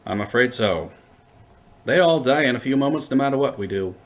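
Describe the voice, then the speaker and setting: Casting: male actor for unflappable sci-fi radio adventurer, medium-pitched, dry wit, wordy and flippant. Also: expressive, brisk, close to an everyday voice. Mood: resigned, dry. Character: wry, unflappable male time-travelling adventurer. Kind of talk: audio drama acting.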